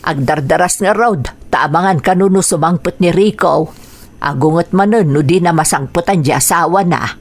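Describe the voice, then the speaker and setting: The low-pitched grandmother in an Ilocano radio soap, standing in the kitchen, drawing out her sighing words as she looks after everyone. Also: moderate pace. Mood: gently chiding. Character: older grandmother, caring and defensive of her daughter. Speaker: radio drama actor. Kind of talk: radio drama acting.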